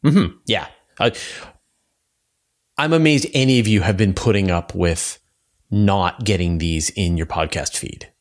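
A man's voice: deep voice, a little slow. A man hosting a podcast in casual, conversational, unscripted talk. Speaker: podcast host.

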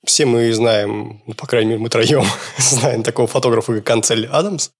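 A man's voice: medium pitch, fairly even intonation, normal speed, slightly rough. A man hosting a podcast, chatting casually and asking curious questions. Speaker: podcast host.

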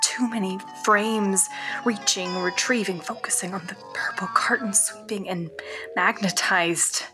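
Haunted young woman, played by an actress, slow hushed diary delivery. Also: medium pitch, close to an everyday voice. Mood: shaken. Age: young adult. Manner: breathless, halting, frequent whispers. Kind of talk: found-footage audio drama monologue. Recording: music bed behind the voice.

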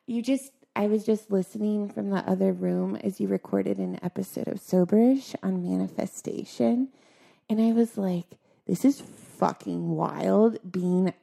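Giggly young woman with a husky voice. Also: dark tone. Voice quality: squeaky. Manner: giggly, chatty storyteller, laughs often. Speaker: podcast guest. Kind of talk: casual chat.

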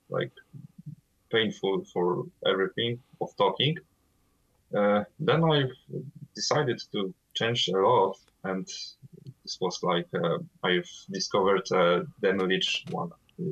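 A man's voice: fairly low pitch, a bit bright, highs cut off. Langzame Poolse man, dof gesprek. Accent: Polish. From Poland. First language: Polish. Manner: halting, hesitant non-native English with many fillers. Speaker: guest vocalist of a death metal band. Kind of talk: interview over a call.

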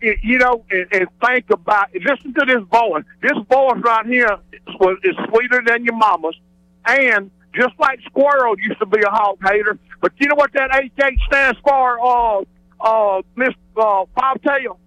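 A man's voice: very high voice, measured pace, sharp tone, no room sound. A man with a Southern US accent phoning a radio show, rambling in an exuberant, folksy way. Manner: exuberant, digressive, folksy showman. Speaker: regular radio phone-in caller. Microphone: telephone. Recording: radio broadcast phone call.

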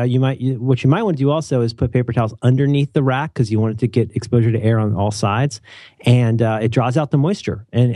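A man hosting a casual chat, animated and opinionated, joking often and restarting his sentences. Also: medium pitch, no room sound, clean studio sound. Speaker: podcast host.

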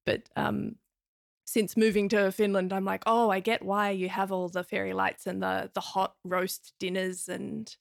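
A woman chats casually with a noticeably creaky, gravelly voice. She fills her talk with "like" and often trails into a hush, and the sound is dry and close. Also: mid-range voice, little pitch movement, unhurried, dry recording. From Australia. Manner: chatty, lots of "like", drops to a hush. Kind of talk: casual podcast chat.

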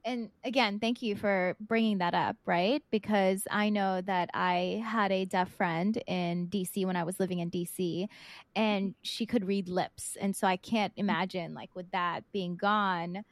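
A woman's voice: mid-range voice, fairly even intonation, measured pace, no room sound. A woman hosting a podcast interview, reflective and casual, often checking in with "right?". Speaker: podcast host.

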